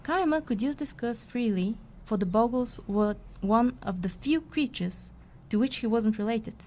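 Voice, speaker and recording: A woman playing a brisk storyteller, narrating a mock fantasy tale in a scripted audio play. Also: fairly high pitch, expressive, darkish tone, natural voice.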